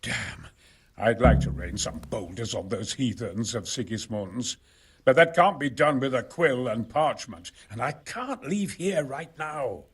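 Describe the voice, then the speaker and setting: An actor playing a wily, impatient medieval master builder in a video game, speaking in a brisk, conspiratorial and expressive way. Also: male voice, mid-range voice, breathy edge, distant mic. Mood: grumbling, scheming. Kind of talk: video game cutscene acting.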